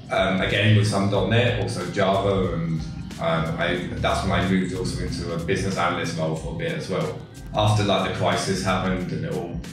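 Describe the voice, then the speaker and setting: An English man in his forties, a tech company co-founder, talking casually in an interview with lots of fillers and restarts. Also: deep voice. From England. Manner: relaxed, rambling with many fillers and restarts. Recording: echoey room, far from the mic, music behind.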